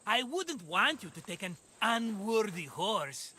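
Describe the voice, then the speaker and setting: A man's voice: sits high, big pitch swings, measured pace, pushed and bright, slight background noise, heavily acted. An actor playing a chatty, scheming middle-aged man who talks in a fussy, coaxing way. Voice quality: fussy, wheedling, hesitant.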